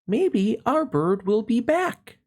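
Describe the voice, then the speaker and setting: A man's voice: extremely high pitch, very animated, takes it slowly, pitched above the performer's own voice, exaggerated voice. A man reading as a hopeful storybook mom.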